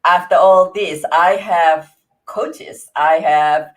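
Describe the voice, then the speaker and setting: A woman in her forties with an Indonesian accent, a guest in an interview, speaking earnestly with frequent laughs and halting restarts. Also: fairly low pitch. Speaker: interview guest, grief coach. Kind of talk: conversational interview.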